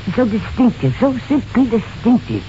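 A man's voice: very high voice, sing-song, moderate pace, mellow. An actor playing a fussy, effusive shop clerk who gushes and repeats his phrases in an old-time radio drama. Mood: fussy, obliging. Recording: dull, noisy vintage radio recording.

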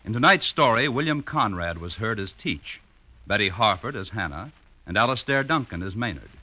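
A man's voice: lowish voice, lively intonation, moderate pace, pushed and bright. A man announcing in polished, emphatic 1950s American radio style from a script.

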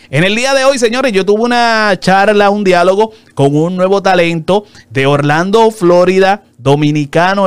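Man, interview host, somewhat high voice, smooth and resonant, dry close mic, lively promo delivery. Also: dry recording. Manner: lively, upbeat promo delivery with fast asides. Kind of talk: casual conversational interview.

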